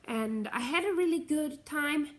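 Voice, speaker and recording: A woman's voice: sits high, expressive, measured pace. Chatty nasal woman vlogging. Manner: chatty, meandering, self-correcting. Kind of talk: casual rambling vlog chat.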